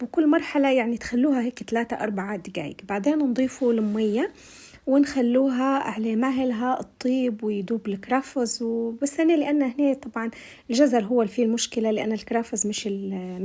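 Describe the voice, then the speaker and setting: Husky-voiced Libyan woman explaining a recipe. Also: on the high side, dark tone. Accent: Libyan Arabic. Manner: chatty, explains step by step to viewers. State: tired voice. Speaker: cooking vlogger. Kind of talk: casual recipe voice-over.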